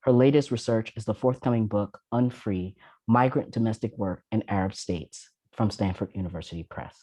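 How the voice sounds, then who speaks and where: A man introduces a panel, reading each speaker's biography from prepared notes. His voice is mellow and unforced, and the recording is dry and close. He pauses now and then on a filler sound. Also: medium pitch, dry recording, poor audio. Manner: measured, reads from notes with some filler "uh"s. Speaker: event host introducing panelists.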